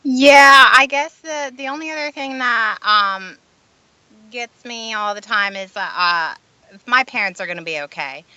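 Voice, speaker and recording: A woman's voice: fairly high pitch, a little slow, bright, forceful tone, smooth, no room sound, highs cut off. A woman talking casually as a client, plain-spoken and a little hesitant. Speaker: psychic's client, hospice caregiver. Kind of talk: casual conversation.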